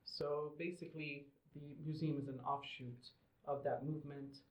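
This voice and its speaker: A middle-aged man from Lebanon and Qatar giving measured, explanatory answers as an interview guest. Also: fairly high pitch, steady tone. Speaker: podcast guest, museum representative. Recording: roomy, echoing sound.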